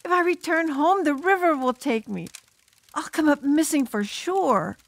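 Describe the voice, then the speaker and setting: A woman acts out a fearful teenage drum major in an audiobook. The voice sits a little high, grave and apologetic, recorded very dry. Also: lively intonation, measured pace, dry recording. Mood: fearful, resigned. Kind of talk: audiobook character acting.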